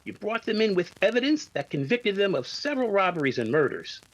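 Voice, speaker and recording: A man playing a stern, formal police inspector in a 1950s-style radio drama, speaking with measured authority. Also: sits high, very animated, heavily acted, low-quality recording.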